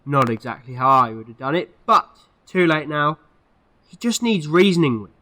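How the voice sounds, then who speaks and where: A man's voice: a little high, big pitch swings, normal speed, bright, forceful tone. A man playing an eccentric, moralising alien time traveller in an audio drama, speaking earnestly and emphatically. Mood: calming, practical. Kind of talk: fan audio drama acting.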